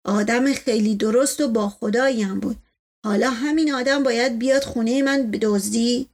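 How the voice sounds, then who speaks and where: A woman's voice: a little high, darkish tone. An imperious older patriarch from a storybook , as a woman narrator puts him on. He speaks sternly and pompously, with indignation. His temper is proud and suspicious. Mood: suspicious, brooding.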